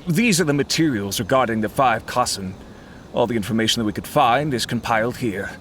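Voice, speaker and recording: An actor playing a courteous, earnest, deferential merchant official in a video game. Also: male voice, mid-range voice, expressive, fairly quick, background noise, lightly acted. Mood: apologetic, polite. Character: Hirayama, polite, deferential male merchant official. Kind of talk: game dialogue.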